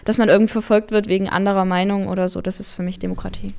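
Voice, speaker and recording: A woman's voice: medium pitch, little pitch movement, rapid-fire, gravelly, darkish tone. A woman gives a casual interview statement, hedging her phrases loosely.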